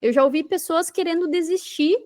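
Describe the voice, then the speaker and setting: A Brazilian woman with a clearly high-pitched voice speaks as a podcast guest. She explains and advises conversationally, stressing her points emphatically and repeating phrases to drive them home. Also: brisk, darkish tone. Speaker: podcast guest, digital marketing mentor.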